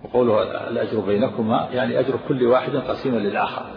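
A man's voice: mid-range voice, fairly even intonation, very fast. A man sheikh teaching a hadith lesson, explaining and restating each point for his students. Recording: roomy hall, dull lo-fi sound, faint noise.

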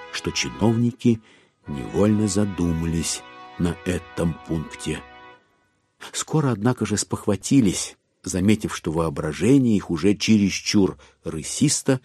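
A man narrating a classic satirical tale in a measured, ironic literary manner. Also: low-pitched, darkish tone. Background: music.

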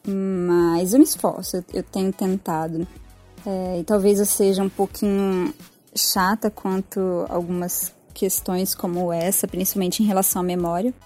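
A woman's voice: medium pitch, flat delivery, moderate pace, dark tone, faint music behind. A Brazilian woman giving an informal spoken reflection, hesitant and self-deprecating as she goes. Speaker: podcast commentator.

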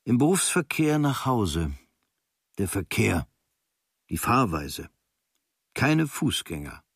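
An actor reading as an observant writer recounting travels from a diary, in clipped, dryly observant phrases with a husky voice. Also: male voice, on the low side, sing-song, slow, no room sound, studio quality, full character voice.

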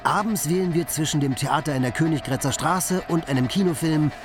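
An actor playing a talkative, whimsical city flâneur with florid, playful phrasing in a radio play. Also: male voice, fairly high pitch, fairly quick, close to an everyday voice. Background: music.

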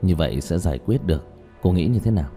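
A man playing a calm, matter-of-fact young male exorcist in an audiobook, speaking in a low-key, measured way. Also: soft background music. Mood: calm, explaining. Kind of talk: audiobook character dialogue.

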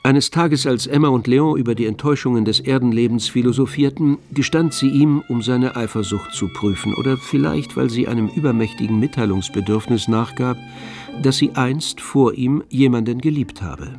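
A man narrating a radio drama as a wry, measured literary storyteller. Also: medium pitch, a little mellow, close to an everyday voice. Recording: studio with faint string music behind.

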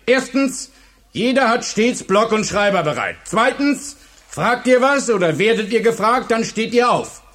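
Strict male schoolteacher, radio drama. Very high-pitched, commanding, clipped drill-style delivery. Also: expressive, unhurried, fairly bright tone, heavily acted. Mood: stern, approving. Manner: barking, clipped classroom orders. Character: strict commanding male history teacher drilling his class. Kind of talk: German radio play acting.

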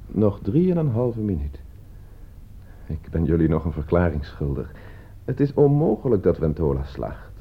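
A proud, exacting man in a radio play. The voice is fairly low and clean, with wide swings in pitch. The recording is slightly dull and lo-fi. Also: sing-song, measured pace, husky, heavily acted. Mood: cool, self-assured. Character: self-assured man named Loimu who proves his point, turning rattled.

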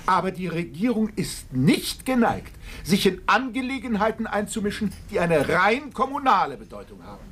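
High-voiced stern gentleman politician. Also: very animated, a little hiss or hum. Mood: grave, disapproving. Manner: formal, admonishing, measured phrasing. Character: stern, cautious male gentleman member of parliament. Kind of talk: German radio play acting.

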